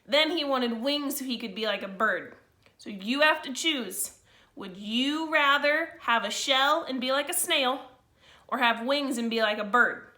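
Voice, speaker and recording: A woman teacher reading a picture book to children in a slow, expressive way, with informal asides to her pupils. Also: high-pitched. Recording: roomy, echoey sound.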